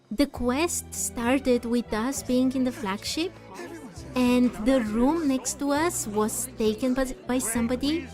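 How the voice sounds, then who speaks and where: A woman's voice: sits high, a little mellow. A woman giving casual, reflective let's-play commentary, musing aloud as she plays. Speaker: gaming video host.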